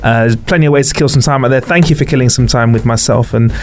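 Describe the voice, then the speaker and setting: A man hosting a podcast, wrapping up the interview with a friendly, brisk manner. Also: mid-range voice, a little mellow. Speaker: podcast host. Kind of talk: casual interview chat.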